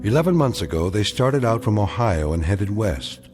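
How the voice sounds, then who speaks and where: A portentous, enigmatic narrator with a fairly low voice. He tells the tale in a grave, measured radio drama style. Music plays clearly underneath. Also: sing-song. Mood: grave, ominous. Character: grave, enigmatic male storyteller.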